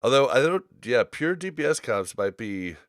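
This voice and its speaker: A man's voice: mid-range voice, big pitch swings, normal speed, no echo, clean studio sound. A man hosting a casual gaming podcast, talking in loose slang with the odd swear word. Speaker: podcast host.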